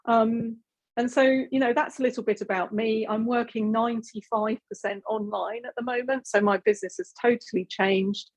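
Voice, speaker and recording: Husky British woman presenting a webinar. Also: a little high, steady tone, normal speed, rough recording. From Britain. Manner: chatty, many fillers and restarts. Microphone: video call.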